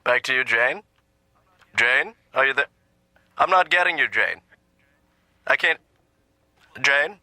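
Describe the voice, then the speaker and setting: A wry cartoon patient tells jokes at a middle pitch. His delivery is casual, with jokes that turn on the last word. The recording is dry. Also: expressive, slow pace, long pauses, pushed and bright, no room sound, full character voice. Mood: mock announcer. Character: comedian patient on the therapy couch.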